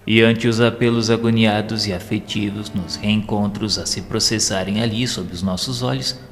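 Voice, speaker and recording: Male audio drama narrator: formal, solemn storytelling, fairly low voice in hall-like reverb. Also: fairly even intonation, normal speed. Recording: long hall reverb, faint music. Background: faint music.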